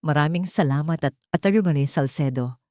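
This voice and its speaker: A woman's voice: low-pitched, normal speed, a little mellow, dry recording, clean studio sound, natural voice. A woman voicing a stern older female judge, formal and commanding, with a Filipino accent. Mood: official.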